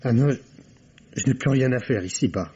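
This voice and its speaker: A male actor playing a flamboyant, high-strung private secretary, effusive and stammering, in a French radio mystery play. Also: moderate pace, dark tone, husky. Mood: distraught, self-pitying. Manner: effusive, dramatic, indignant outbursts, stammering. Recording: dull vintage radio recording.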